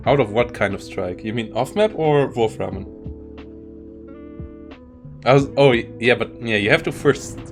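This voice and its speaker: A man's voice: medium pitch, measured pace, vocal fry, pauses often, soft background music. A man commentating a live strategy game tournament, talking in loose, self-interrupting bursts. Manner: rambling, self-interrupting, frequent restarts. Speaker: esports caster.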